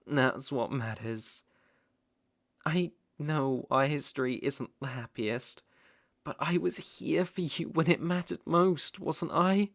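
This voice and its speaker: A British man playing a devoted, possessive stalker in an intimate ASMR roleplay, tender and wry with halting phrasing. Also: fairly high pitch, gravelly, dry recording, clean studio sound, full character voice. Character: devoted, possessive male stalker, outdoorsy and softly protective.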